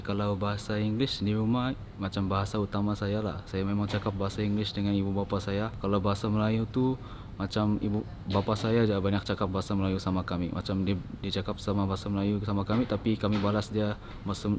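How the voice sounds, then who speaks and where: A man's voice: on the low side, level tone, racing, background noise. A young male university student chatting casually in an interview about the languages he speaks. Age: young adult.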